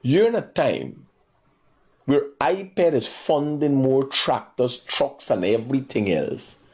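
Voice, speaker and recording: A Guyanese man in his forties hosting a call-in talk show, slow and reflective alone, loud and rapid when arguing. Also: mid-range voice, lively intonation, husky. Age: 40s. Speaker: radio call-in host.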